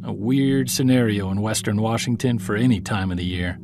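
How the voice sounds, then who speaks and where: An actor playing a wry, observant podcast investigator, narrating and interviewing in a measured, scripted style. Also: male voice, on the low side, over music, natural voice.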